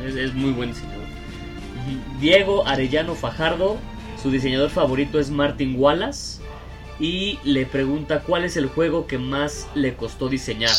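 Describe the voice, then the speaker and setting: A man with a mid-pitched voice hosts a laid-back chat, reading questions with plenty of fillers. Music plays clearly underneath him. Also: moderate pace. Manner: relaxed, filler-heavy, conversational. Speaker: podcast host reading listener questions. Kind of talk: casual interview chat.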